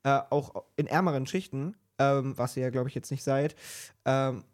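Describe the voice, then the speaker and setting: Mid-pitched man, conversational podcast interviewer. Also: fairly even intonation, moderate pace. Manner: wordy, rephrases answers as questions.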